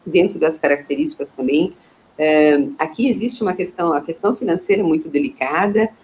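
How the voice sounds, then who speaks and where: A woman, hosting a live online interview, chatting warmly and asking questions, often saying "né". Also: low voice, a little fast, a little hiss or hum, poor audio. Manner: warm, conversational, with frequent "né". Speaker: host, portal director.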